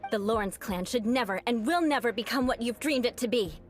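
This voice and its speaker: A woman's voice: on the high side, a little fast, faint music behind, lightly acted. An actress playing a proud young noblewoman knight who speaks with cool, dryly cutting composure in a video game. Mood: defiant.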